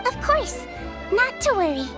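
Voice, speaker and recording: A little anime game nurse girl with an extremely high, cute voice. She sounds gentle and earnest, caring for everyone. Music plays clearly behind her. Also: very animated, a little slow, heavily acted. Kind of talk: video game voice acting, anime-style.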